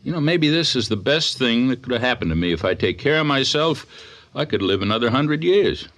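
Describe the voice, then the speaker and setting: A man's voice: medium pitch, animated, fairly quick, a bit bright. A man playing a genial middle-aged father and business owner in a radio drama. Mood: upbeat.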